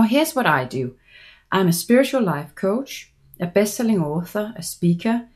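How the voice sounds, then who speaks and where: A middle-aged woman from Denmark with a Danish accent, a voice coach giving an earnest, measured promotional pitch for her seminar. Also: a little mellow. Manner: measured, earnest, persuasive delivery.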